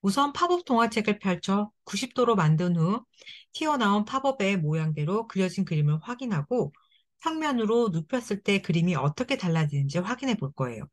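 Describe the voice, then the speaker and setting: A woman at a middle pitch reads scripted instructions for a children's book-making activity. She speaks to the kids directly, gently and encouragingly, praising their work as she goes. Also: big pitch swings, fairly quick.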